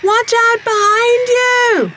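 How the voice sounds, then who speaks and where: A woman's voice: very high voice, steady tone, slow pace, sharp tone, a little hiss or hum, exaggerated voice. A woman playing a timid, dainty, admiring pirate crew member in an audio drama, hesitant and fluttery. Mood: alarmed, eager.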